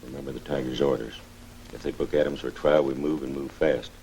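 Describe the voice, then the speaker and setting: Sinister henchman, extremely low gravelly voice, terse, conspiratorial, old film serial. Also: fairly even intonation, a little fast, mellow. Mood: menacing, urgent. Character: sinister henchman of a secret villain, plotting. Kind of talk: 1940s film serial acting. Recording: noisy old 1940s film soundtrack with hum.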